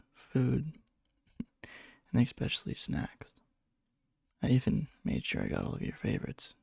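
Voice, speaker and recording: Man playing a breathy, creaky-voiced nervous lovesick friend. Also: medium pitch, no echo, studio quality, heavily acted. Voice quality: soft, breathy, often dropping to a whisper. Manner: hesitant, halting, murmuring with nervous chuckles and stammers. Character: needy lovesick young man nervously confessing to best friend. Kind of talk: ASMR boyfriend roleplay. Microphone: close microphone, dry.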